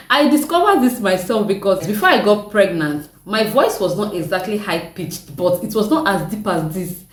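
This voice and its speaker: A young woman with a deep voice, chatting casually to her viewers and laughing often. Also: animated, normal speed, distant mic. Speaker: vlogger. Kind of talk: casual chat to camera. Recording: echoey room, mic set back.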